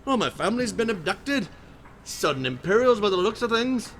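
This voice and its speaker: A man's voice: sits high, big pitch swings, normal speed, fairly bright tone, soft background music, full character voice. A man playing a plucky, plain-spoken sailor in a video game, with a rustic, matter-of-fact manner. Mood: determined, matter-of-fact. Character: plucky male sailor whose family was abducted. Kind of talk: video game character dialogue.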